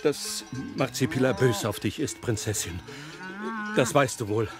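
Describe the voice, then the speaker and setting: An actor playing a devoted, anxious royal servant in a fairy-tale radio play, earnest and deferential. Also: male voice, mid-range voice, a little slow, music in the background, close to an everyday voice. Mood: urgent, alarmed. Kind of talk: German radio drama acting.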